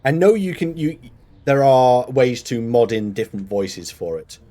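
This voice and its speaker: A man's voice: medium pitch, normal speed, warm tone. A man with a Mancunian accent chatting casually while live-streaming a game, rambling and laughing often. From Manchester, England.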